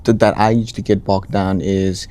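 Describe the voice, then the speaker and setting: A young man from Nepal, answering interview questions in a relaxed way, often restarting phrases with ums. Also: sits low, normal speed. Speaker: interviewee, account manager.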